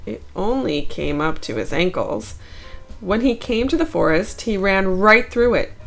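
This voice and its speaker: A woman narrating a children's picture book in an expressive, deliberate read-aloud style with dramatic pauses. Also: medium pitch, vocal fry, faint music behind, low-quality recording.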